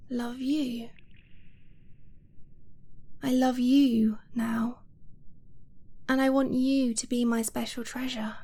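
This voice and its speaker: A woman playing an affectionate young dragonblooded girlfriend in an intimate ASMR romance roleplay, speaking in a breathy, hushed way with hesitant pauses. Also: a little high, exaggerated voice. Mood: tender, adoring.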